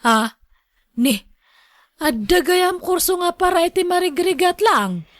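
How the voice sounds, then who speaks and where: A woman playing a discontented, sharp-tongued village wife and mother in a radio drama. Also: very high voice, lively intonation, a little slow, dry recording, studio quality. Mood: chatty, gossipy.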